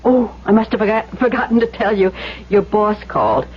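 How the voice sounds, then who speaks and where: On a slightly dull vintage radio recording, a worried, caring woman. She speaks earnestly at a middling pitch, coaxing and gently pressing her listener. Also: big pitch swings, a little fast, husky. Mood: matter-of-fact. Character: concerned, gently pressing woman confiding in Elwood. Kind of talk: 1970s radio drama acting.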